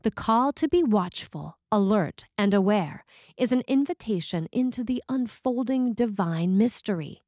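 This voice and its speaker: A woman delivers a scripted sermon as a priest would, measured and formal. Her voice sits at a middle pitch, recorded close and dry with very little room sound. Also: expressive, a little mellow, no room sound.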